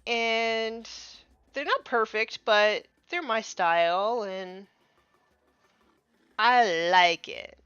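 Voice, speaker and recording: Bright-voiced congested woman streaming her painting. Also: a little high, extremely slow, pauses often, smooth, dry recording, soft background music. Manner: soft muttering asides, trailing off mid-task. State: congested from allergies. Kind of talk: casual live-stream chat while painting. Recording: slightly dull, dry sound.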